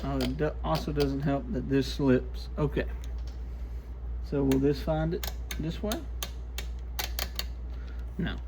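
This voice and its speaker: A man's voice: medium pitch, steady tone, takes it slowly, pauses often. A man casually thinking aloud in short, muttered remarks as he demonstrates and tests gear by hand. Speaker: radio shop host testing gear. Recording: clear background noise with clicks.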